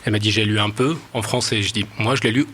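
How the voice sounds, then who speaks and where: A man, an actor whose first language is Arabic, calmly and earnestly recounting a debate with a student. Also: on the low side, quick pace, breathy edge. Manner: calm, earnest, hesitant fillers. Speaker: stage actor. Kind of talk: interview anecdote.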